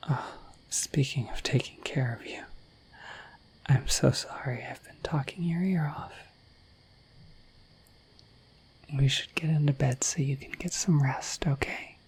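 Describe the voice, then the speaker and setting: A woman voicing a shy, affectionate, dorky dragon in a soft, breathy, halting ASMR whisper, close to the microphone. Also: low-pitched, exaggerated voice, poor audio. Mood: tender, caring. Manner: halting, gentle, earnest. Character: shy, dorky, affectionate female dragon, naive about humans.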